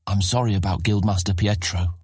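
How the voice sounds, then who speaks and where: A bewildered young guild newcomer, acted by a man in a fantasy audiobook. He speaks in a lowish, husky voice, close and dry. His manner is polite, hesitant and apologetic. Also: steady tone, fairly quick, darkish tone, no echo. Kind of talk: fantasy audiobook character acting.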